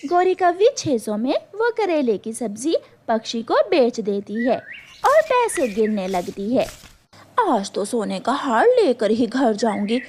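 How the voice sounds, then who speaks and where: Woman narrator, high pitch, slow pace, children's cartoon storytelling. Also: very animated, darkish tone, slight background noise.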